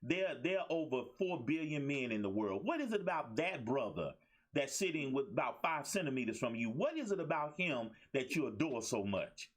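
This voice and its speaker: A man's voice: medium pitch, sing-song, moderate pace. A man hosting a talk show, teasing his guests playfully and laughing often. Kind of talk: casual interview chat.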